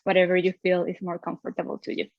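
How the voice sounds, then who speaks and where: A woman's voice: on the low side, monotone, quick pace, gravelly, dry recording, muffled recording. A young woman with a Venezuelan Spanish accent, a native Spanish speaker, teaching an online drawing class in a cheerful manner and laughing often. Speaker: art teacher.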